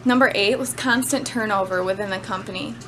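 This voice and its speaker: A woman delivers an informal class speech in a conversational way, with slightly hesitant phrasing. Her voice sits at an average pitch. The amateur camera recording has some noise in it. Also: fairly quick, sharp tone. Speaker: student giving a class speech. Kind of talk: informal prepared speech on personal work experience.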